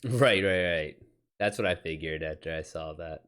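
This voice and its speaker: A man's voice: sits low, moderate pace, fairly bright tone, smooth. A man chatting informally as an author on a livestream, rambling in loose, slangy, sweary talk. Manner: rambling, retells exchanges in quoted back-and-forth. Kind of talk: casual livestream chat with anecdotes.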